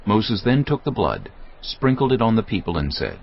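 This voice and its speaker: A man narrating scripture from a script as a measured, expressive storyteller. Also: fairly low pitch. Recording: dull studio sound over clear music. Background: music.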